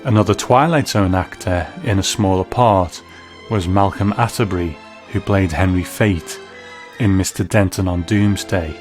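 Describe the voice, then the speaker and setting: A man's voice: deep voice, moderate pace, music in the background. A man hosting a podcast, delivering scripted documentary-style narration. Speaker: podcast host.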